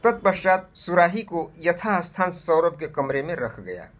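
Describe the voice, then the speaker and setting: A man reading a scripted moral story for children in a measured, deliberate way. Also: fairly high pitch, very animated.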